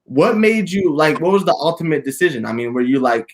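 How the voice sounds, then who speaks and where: A man hosting a casual interview, playful and animated, joking and laughing with his guest. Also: a little high, a little fast. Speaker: interview host.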